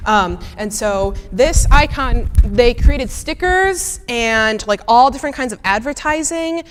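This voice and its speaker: A woman's voice: fairly high pitch, animated, measured pace, slight background noise. A young woman with a Midwestern American accent, a student answering audience questions casually with many fillers and restarts. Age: young adult. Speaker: student presenter. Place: lecture hall. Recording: reverberant lecture hall.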